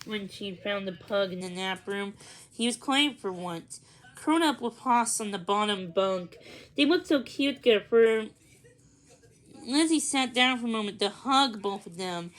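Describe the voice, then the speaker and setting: A woman reading a children's story aloud, a little haltingly, now and then repeating a word. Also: medium pitch, animated, fairly bright tone. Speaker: storybook reader.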